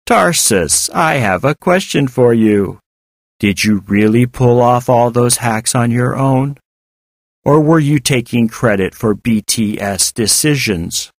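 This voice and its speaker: A man voicing a malicious, taunting female robot in an audiobook, with a hard, artificial voice and drawn-out, mock-sweet delivery. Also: mid-range voice, no room sound, studio quality. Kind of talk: audiobook character voice.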